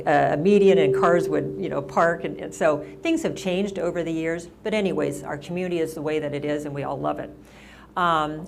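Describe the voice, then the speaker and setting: A woman's voice: low-pitched, creaky, slight background noise. A middle-aged woman mayor talking casually at a senior center, rambling with lots of restarts and fillers. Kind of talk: casual town-hall talk.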